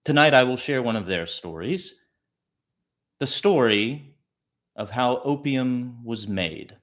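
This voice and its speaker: A man with a mid-pitched voice hosts a storytelling session. He narrates deliberately, breaking sentences into short phrases and pausing between them in the manner of a bedtime tale. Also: lively intonation, a bit bright. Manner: deliberate, phrase-by-phrase delivery with pauses. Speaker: storyteller host.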